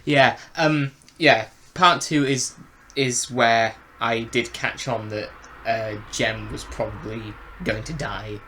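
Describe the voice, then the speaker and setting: A man in a casual review chat as a co-host, speaking in halting phrases and often repeating words. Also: mid-range voice, steady tone, fairly bright tone, a little hiss or hum. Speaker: podcast co-host reviewing audio dramas.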